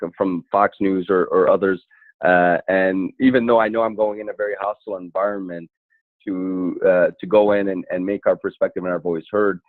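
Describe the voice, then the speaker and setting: A man speaking earnestly as a panelist in an online town hall, halting and often repeating words as he goes. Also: lowish voice. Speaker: panelist, civil rights attorney and activist. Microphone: internet call. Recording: thin, phone-like online call audio.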